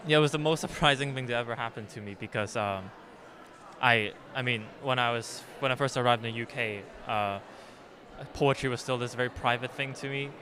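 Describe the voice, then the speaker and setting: A man's voice: mid-range voice, brisk, sharp tone. A young man from Hong Kong whose first language is Cantonese, answering interview questions thoughtfully with many fillers and restarts. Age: young adult. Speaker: poet, interview guest.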